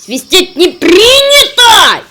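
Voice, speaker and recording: A fussy children's cartoon scold with a melody that leaps up and down. The delivery is measured and emphatic, every rule pressed home. Loud bird chirps and clicks sit behind the voice. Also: female voice, very high-pitched, very animated, background noise, full character voice. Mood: indignant, scolding.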